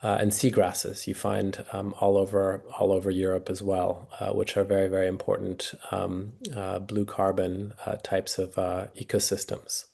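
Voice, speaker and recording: Low, flat, gravelly male speaker; halting webinar answers with many fillers. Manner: hesitant, many fillers and restarts. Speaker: guest speaker.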